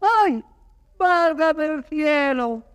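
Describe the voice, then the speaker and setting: An actor playing a wretched, wounded soldier, fatalistic and dying, declaiming verse with theatrical anguish in an audio drama. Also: male voice, very high-pitched, lively intonation, extremely slow, a bit bright, studio quality, heavily acted. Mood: agonized, fatalistic. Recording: long hall-like reverb.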